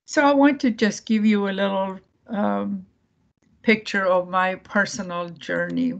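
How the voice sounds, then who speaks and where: Slow, halting woman with a gravelly voice. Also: mid-range voice, fairly even intonation, lo-fi sound. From Iran. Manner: halting, many fillers and restarts. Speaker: guest speaker. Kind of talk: recorded video-call presentation. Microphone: video call.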